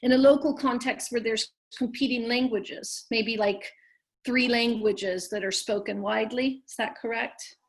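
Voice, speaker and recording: A woman moderates a webinar over a video call, politely passing on audience questions and rephrasing them as she goes. Her voice sits a little high. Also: fairly even intonation, unhurried.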